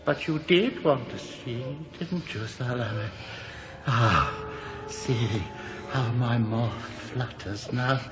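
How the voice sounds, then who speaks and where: An actor playing a mad, possessive husband in a radio drama, theatrical, taunting and insinuating. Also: male voice, mid-range voice, slow pace, over loud music, lightly acted. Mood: taunting, manic.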